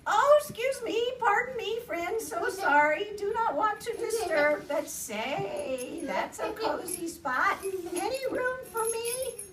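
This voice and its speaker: A woman's voice: very high-pitched, animated, noisy background, pitched above the performer's own voice, full character voice. A woman voicing a timid female hedgehog in a storybook tale, whiny and fussily apologetic, recorded far off in an echoey room. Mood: fluttery. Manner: whiny, drawn-out lament, fussy apologies. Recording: echoey room, distant mic.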